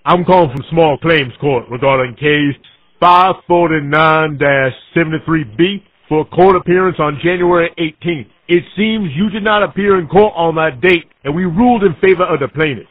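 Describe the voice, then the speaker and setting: A man's voice: fairly high pitch, moderate pace, no room sound, natural voice. A man playing a pushy, scolding small-claims court official in a streetwise, informal, confrontational prank call. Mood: officious. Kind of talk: pre-recorded prank call acting. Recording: tinny phone line.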